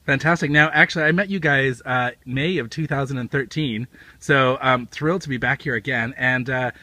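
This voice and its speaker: A man in his forties hosting a casual winery interview and tasting on an outdoor patio, upbeat and quick to say fantastic. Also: mid-range voice, sharp tone, dry recording. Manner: upbeat, says fantastic a lot. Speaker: wine blog host.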